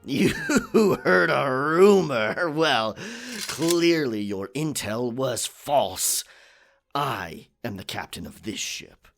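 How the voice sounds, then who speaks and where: A man playing a boisterous, rum-swigging pirate captain in a roleplay audio drama, with a gravelly voice, theatrical yet hesitant, muttering in pirate slang. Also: medium pitch, very animated, drawn-out words, fairly bright tone, soft background music, full character voice. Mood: musing.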